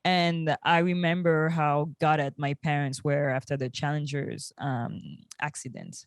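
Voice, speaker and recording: A woman from France, co-hosting a podcast in a measured, reflective, casual way with pauses. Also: deep voice, steady tone, no echo, clean studio sound. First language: French. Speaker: podcast co-host.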